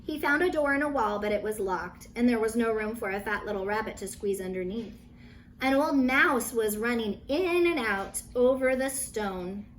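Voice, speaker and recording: A woman teacher reading a children's story aloud with animated emphasis, dramatic pauses and brief asides to her young listeners. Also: a little high, a little hiss or hum, poor audio.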